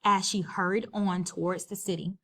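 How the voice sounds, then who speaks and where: A woman narrating a children's storybook as a read-aloud, measured and expressive. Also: medium pitch. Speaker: storybook reader.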